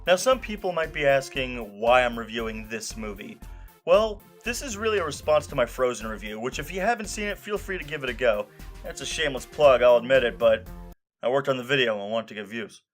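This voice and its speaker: Animated man narrating a scripted review. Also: medium pitch, brisk, faint music behind. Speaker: film reviewer, show host.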